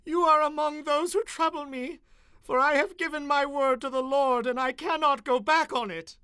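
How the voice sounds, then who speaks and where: A man's voice: extremely high pitch, fairly even intonation, normal speed, sharp tone, dry recording, exaggerated voice. A man playing a commanding Israelite war leader and anguished father in a dramatized audio Bible, speaking in formal, emphatic declamation.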